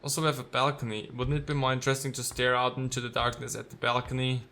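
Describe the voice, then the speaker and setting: Man, let's player, bright sharp voice, mid pitch, reading story text, casual wry commentary. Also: little pitch movement, fast talker, slight background noise.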